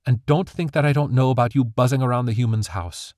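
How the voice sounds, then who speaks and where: A man's voice: medium pitch, fast talker, darkish tone, dry recording, studio quality, pitched above the performer's own voice, lightly acted. A man voicing a strict fairy mother, speaking in a stern, emphatic, scolding way.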